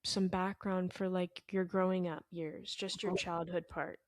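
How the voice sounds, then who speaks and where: A woman hosting a podcast, gently asking her guest questions with hesitant fillers. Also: fairly low pitch, little pitch movement, measured pace, no echo. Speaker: podcast host. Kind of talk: interview conversation.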